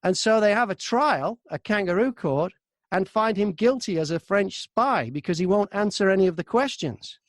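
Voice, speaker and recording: A man's voice: high voice, lively intonation, moderate pace, no echo. British man telling stories in an interview. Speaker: audiobook narrator and broadcaster. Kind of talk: casual interview storytelling.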